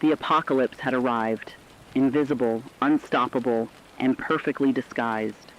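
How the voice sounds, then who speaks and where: A man of medium pitch narrates a scripted end-of-the-world horror chronicle. He sounds solemn and deliberate, and the recording is muffled and lo-fi, like an old radio broadcast. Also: slight background noise.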